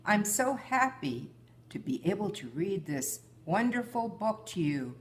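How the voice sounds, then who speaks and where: A woman's voice: medium pitch, big pitch swings, a little slow. An older woman reading a children's picture book aloud as a guest storyteller.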